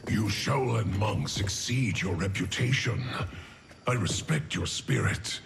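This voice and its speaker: Deep gravelly villainous enforcer. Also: male voice, lively intonation, background noise, exaggerated voice. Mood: grim taunting. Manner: slow, measured, taunting. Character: menacing male henchman enforcer, grimly devoted to his mistress. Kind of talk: video game cutscene acting. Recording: loud music under the voice.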